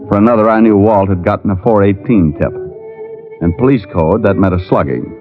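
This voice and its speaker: A muffled vintage radio recording with music behind it carries a man acting a hard-boiled private eye. He speaks low and laconic, with a wry edge, in classic noir style. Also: a little fast, natural voice. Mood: terse narrating. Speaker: actor in radio detective drama. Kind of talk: 1940s-50s radio noir acting and narration.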